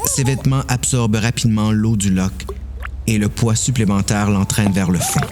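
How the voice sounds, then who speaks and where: A man's voice: lowish voice, fairly even intonation, moderate pace, a little mellow, a little hiss or hum. A man with a Quebec French accent narrating a dramatised horror story.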